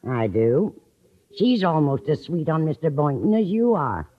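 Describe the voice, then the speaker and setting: An actress playing a matter-of-fact mature woman, gently needling her friend in an old radio comedy. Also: deep voice, big pitch swings, measured pace, full character voice. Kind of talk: 1940s-50s radio comedy acting. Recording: muffled old radio broadcast recording.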